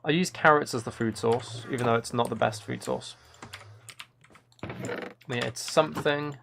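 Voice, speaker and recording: A man streams a video game in a mid-pitched voice with plenty of vocal fry. He chats casually, muttering his thoughts aloud and answering viewers. There is faint noise behind him, with clicking keys. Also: fairly even intonation, normal speed, a bit bright. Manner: muttering to himself between replies to chat. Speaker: live streamer. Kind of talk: casual chat while playing a video game on a livestream.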